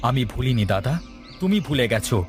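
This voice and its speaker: A man's voice: medium pitch, animated, brisk, faint music behind, natural voice. A man voicing a bold, indignant young village man who speaks urgently and emphatically in a cartoon moral story. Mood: defiant.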